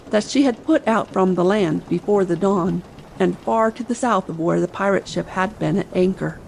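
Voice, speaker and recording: A woman's voice: medium pitch, gravelly, low-quality recording. A woman reading an adventure novel as a dramatic, scripted audiobook narration. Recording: faint ambient noise behind. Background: soft sea ambience.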